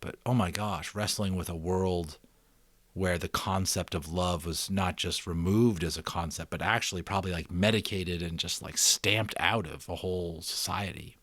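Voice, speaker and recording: Male audiobook reader, slightly low voice, dry intimate sound, expressive dramatic delivery with informal commentary. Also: normal speed, no room sound. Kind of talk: dramatic storybook narration with casual asides.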